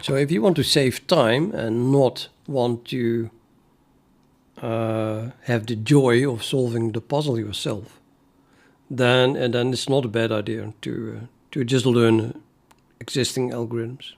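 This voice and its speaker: Dutch-accented man, native Dutch speaker, rambling casual coding-stream chat, halting and full of restarts, mid-range voice. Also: mid-range voice, gravelly, a little mellow. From Netherlands. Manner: thinking aloud, many fillers and restarts. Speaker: programmer streaming his coding.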